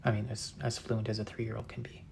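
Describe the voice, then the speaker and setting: A man in his thirties, a painter chatting casually with viewers during a live session, soft-spoken and halting with many fillers and restarts. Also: fairly low pitch, monotone, vocal fry, a little hiss or hum. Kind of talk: casual chat while painting.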